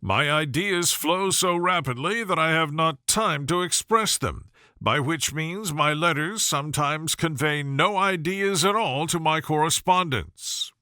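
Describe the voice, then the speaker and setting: A man voicing a genial, easygoing young gentleman in a storybook reading, breezy and teasing. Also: fairly high pitch, a little slow, smooth, no echo, clean studio sound. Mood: good-humored, teasing. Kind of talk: audiobook character voice.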